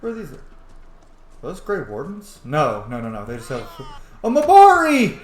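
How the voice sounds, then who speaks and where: A man's voice: fairly high pitch, wildly swooping pitch, moderate pace, reverberant, slight background noise. A man giving casual, chatty gameplay commentary, exclaiming and swearing as he plays. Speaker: let's player narrating gameplay.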